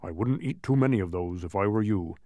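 A man voicing a sober, cautious watchdog in a storybook, giving measured warnings. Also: lowish voice, lively intonation, warm tone, no room sound. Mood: cautionary.

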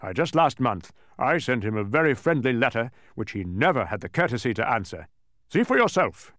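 A man's voice: medium pitch, very animated, moderate pace, clean tone, no echo, studio quality, lightly acted. A man voicing a pompous, touchy mathematician wizard in a storybook reading, with emphatic, declamatory delivery. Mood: indignant, bellowing.

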